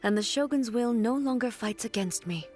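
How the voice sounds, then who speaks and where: A woman playing a reserved, solemn immortal ruler in an anime game, speaking in a formal, measured, earnest way. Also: a little high, darkish tone, close to an everyday voice. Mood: solemn, grateful. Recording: music behind the voice.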